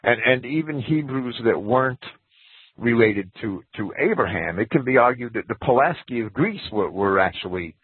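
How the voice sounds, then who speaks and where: A man's voice: mid-range voice, animated, normal speed, breathy edge, dry recording. A man guest on a radio talk show, explaining in a hesitant way with many restarts and careful caveats. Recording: phone-like radio audio.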